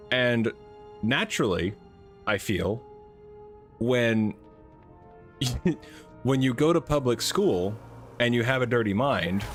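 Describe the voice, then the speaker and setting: A man with a naturally deep voice chatting casually while playing a game, rambling and laughing at himself. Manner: rambling, self-amused, laughs often. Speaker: game streamer. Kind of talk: casual gaming commentary.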